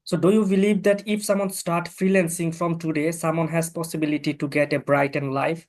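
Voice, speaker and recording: Interviewer, man, plain measured questions, slightly high voice. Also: level tone, a little mellow.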